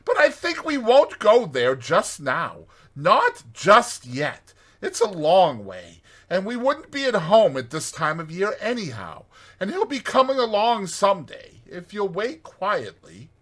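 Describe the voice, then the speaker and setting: A man's voice: high-pitched, wildly swooping pitch, unhurried, fairly bright tone, pitched above the performer's own voice, exaggerated voice. A man voicing a hearty, evasive Water Rat from a storybook, speaking in an emphatic, insistent way.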